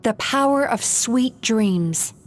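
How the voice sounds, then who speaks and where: A woman voicing a calm, gentle, resolute young dream guide in an anime-style video game, measured and explanatory. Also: on the high side, a little hiss or hum.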